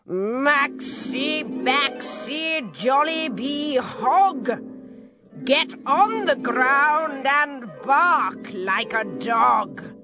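A woman's voice: high-pitched, animated, extremely slow, over music, voice pushed up, full character voice. A woman voicing a spiteful, snarling old witch in a children's storybook. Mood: chanting, spiteful. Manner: snarling, chanting spells.

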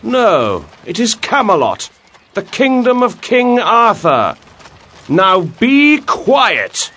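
A man playing a haughty, quick-tempered armored knight with formal, commanding delivery in a storybook tale. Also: high voice, lively intonation, fairly bright tone. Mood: angry. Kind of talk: acted dialogue in a dramatized storybook.

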